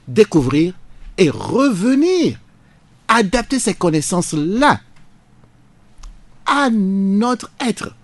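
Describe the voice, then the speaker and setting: A passionate man holds forth in a radio studio debate. His voice sits high and swings widely as he presses each point, preacher-like. The sound is close and dry. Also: no echo. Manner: passionate, emphatic, preacherly cadence. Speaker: guest, jurist.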